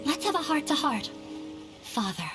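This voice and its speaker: An actress playing a nervous, awkward young daughter in a video game, speaking hesitantly. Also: female voice, high-pitched, very animated. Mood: tentative. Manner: halting, awkward. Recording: faint music behind the voice.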